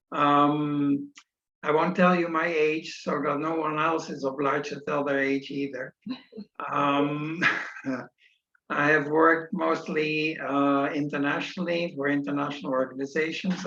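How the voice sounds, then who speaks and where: A man facilitates an online meeting in a slightly high voice. He talks very slowly, with polite, hesitant phrasing. The audio is echoey and somewhat muffled. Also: a bit bright. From Netherlands. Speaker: session facilitator. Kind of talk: unscripted introduction at an online meeting. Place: remote video meeting.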